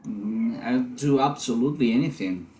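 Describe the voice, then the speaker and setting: A man's voice: mid-range voice, normal speed, mellow, lightly acted, low-quality recording. A man performing in a readers theater as a guarded husband, skeptical and questioning about a plan to save his wife. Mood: earnest. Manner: doubtful, questioning.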